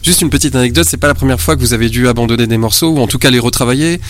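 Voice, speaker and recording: A man's voice: mid-range voice, rapid-fire. A man interviewing a musician, asking polite, formal questions. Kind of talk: radio-style interview questions.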